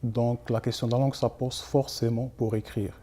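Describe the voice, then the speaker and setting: A man whose first language is Arabic, an author speaking as a guest in a television interview, measured and agreeing often. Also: mid-range voice, flat delivery, warm tone, breathy edge. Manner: measured, repeats "exactement" often.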